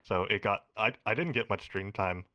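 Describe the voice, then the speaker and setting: A man with a low voice rambles through his answers, switching between earnest points and offhand jokes. The sound is dull and lo-fi, and very dry. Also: normal speed, dry recording. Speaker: eliminated contestant being interviewed. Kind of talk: casual online interview.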